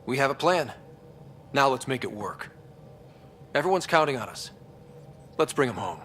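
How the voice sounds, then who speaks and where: A man's voice: mid-range voice, sing-song, normal speed, a bit bright, a little hiss or hum. A man playing an earnest young leader of space explorers in a video game, casual yet resolute. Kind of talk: video game cutscene acting.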